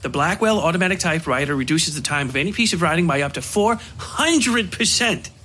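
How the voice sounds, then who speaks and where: A man's voice: a little high, lively intonation, a little fast, sharp tone, slight background noise, pitched above the performer's own voice. A man voicing a slick, pushy typewriter salesman in an audio story, with emphatic sales patter. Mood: pushy, eager.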